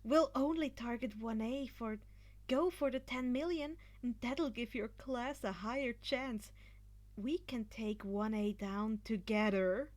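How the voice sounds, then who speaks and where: Woman as earnest schoolboy tactician: high voice, slow pace, polite but set on winning. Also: close to an everyday voice. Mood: determined. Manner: earnest, persuasive. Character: earnest, determined teenage boy strategist, persuasive and polite. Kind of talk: fan fiction podfic character voice.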